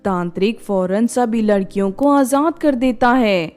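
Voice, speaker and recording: A woman storyteller with a fairly high voice narrates a scripted tale for children. Soft music plays beneath her reading. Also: measured pace.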